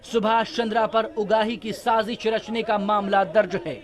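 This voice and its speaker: A man with a distinctly high voice narrates a news report. He reads from a script as a reporter's voice-over. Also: flat delivery, measured pace, a crowd in the background.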